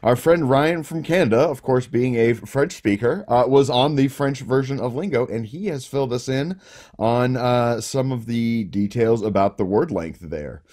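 A man co-hosting a game show podcast, relaxed and explaining things at length with plenty of fillers. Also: medium pitch, normal speed. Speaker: podcast co-host. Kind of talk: casual chat.

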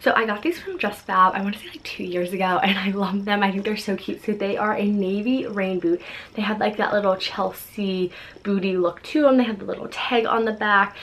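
A woman's voice: mid-range voice, brisk, a bit bright, slight background noise, rough recording. A woman in her twenties talking to camera about her outfits, bubbly and chatty with lots of fillers, in a pink-decorated bedroom. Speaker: fashion vlogger. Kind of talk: casual fashion haul talk.

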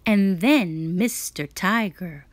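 A woman reading a children's picture book aloud as a storyteller, expressive and dramatic, sometimes dropping to a whisper for emphasis. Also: medium pitch, slow, no echo.

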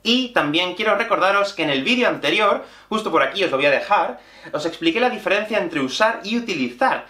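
A man, teaching in an animated online lesson. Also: sits high, a little fast. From Spain. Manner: animated, gestures constantly while explaining. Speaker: Spanish teacher. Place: small room with bookshelf and whiteboard. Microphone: camera at mid-shot distance. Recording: echoey small room.

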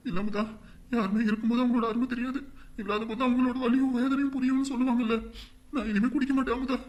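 A woman playing a remorseful husband, low and quick. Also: steady tone, a little mellow, close to an everyday voice. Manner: low subdued pleading. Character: remorseful husband, reformed drunkard. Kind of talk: Tamil family skit acting.

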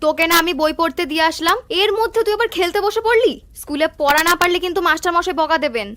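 A woman's voice: very high-pitched, very fast, fairly bright tone, no room sound. A woman voicing a caring, mildly scolding village mother in a cartoon story. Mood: gently chiding. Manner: motherly, chiding.

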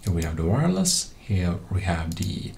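A man with a low, deep voice presents a tech tutorial as a voice-over. He explains things in a casual, practical manner. His English has non-native phrasing. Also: very animated, normal speed, gravelly, a little mellow, a little hiss or hum. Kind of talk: casual explanatory screen-recording narration. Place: voice-over for a screen recording.

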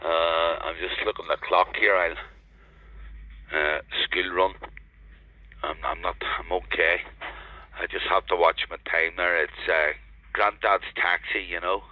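A man's voice: low-pitched, steady tone, unhurried, pauses often, no echo. An older man with a Northern Irish accent chatting casually as an amateur radio operator. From Northern Ireland. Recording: dull lo-fi radio network transmission.

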